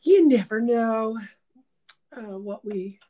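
A woman's voice: fairly high pitch, animated, slow pace, dark tone. A woman hosting a casual chat, talking in a meandering, reflective way with many fillers. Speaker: host.

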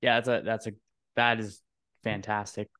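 A man hosting a conversational podcast interview, phrasing his questions in a halting, thoughtful way. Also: on the low side, level tone, fairly bright tone, clean tone, no room sound, clean studio sound.

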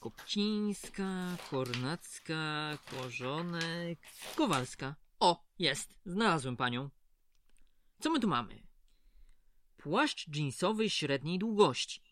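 A man's voice: a little high, big pitch swings, slow pace, a bit bright, a little hiss or hum, full character voice. An actor playing a chatty, folksy male prison clerk in an audio drama, familiar and mildly condescending. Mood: businesslike. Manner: familiar, patronising, colloquial.

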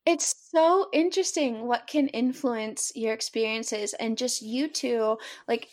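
A woman with an American accent hosting a podcast, talking earnestly in casual chat with quick bursts and lots of "like". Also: fairly high pitch, darkish tone. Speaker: podcast host.

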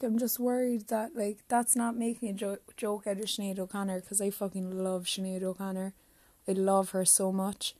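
A woman's voice: medium pitch, mellow, no room sound. A young Irish woman hosting her own podcast, chatting casually, rambling, swearing freely and talking to herself. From Ireland. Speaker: podcast host. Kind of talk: casual solo podcast chat.

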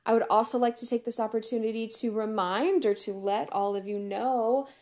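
Woman podcast host, slightly high voice, hoarse, easygoing and chatty with lots of laughs. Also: fairly even intonation, moderate pace, a little mellow. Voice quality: chatty, upbeat, laughs often. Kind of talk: casual solo podcast chat.

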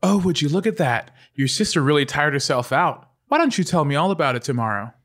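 A man's voice: on the high side, big pitch swings, a little fast, a bit bright. A man playing an affectionate, weary mother with a doting, wry manner in a comedy table read.

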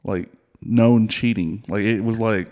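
A man's voice: fairly low pitch, fairly even intonation, a little slow, darkish tone. A man in his thirties, a podcast host, talking frankly and laughing in casual chat. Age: 30s.

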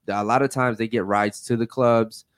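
A man hosts a casual panel show in a slangy, bantering way. He drops bro and man often, and his mid-pitched voice is recorded close and dry, with very little room sound. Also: fairly even intonation, normal speed, clear, resonant tone, dry recording. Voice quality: deep voice. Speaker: podcast host.

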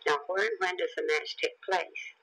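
A man's voice: sits high, moderate pace, vocal fry, bright, forceful tone, slight background noise, exaggerated voice, low-quality recording. A man reading the part of a confident, clever boy detective in a children's audiobook, assured and instructing.

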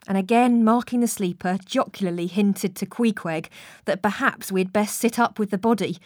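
A woman's voice: mid-range voice, a little mellow, no echo. A woman reading literary fiction aloud as a scripted audiobook narration. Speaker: audiobook reader. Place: recording studio. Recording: dry studio recording.

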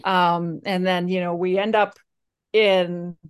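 A woman's voice: on the low side, level tone, takes it slowly, clean tone. A woman from Slovakia, Slovak her first language, telling her story casually in an interview with many fillers and restarts. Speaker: guest.

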